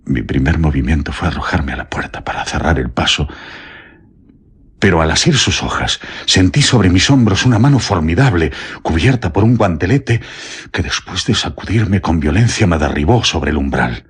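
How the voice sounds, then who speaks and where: Terrified male jailer, Castilian accent, low rough voice, trembling confession, pleading, radio drama. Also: lively intonation, normal speed, heavily acted. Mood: haunted, fearful. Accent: Castilian Spanish. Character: terrified, guilt-ridden male prison warden confessing. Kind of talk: Spanish radio drama acting.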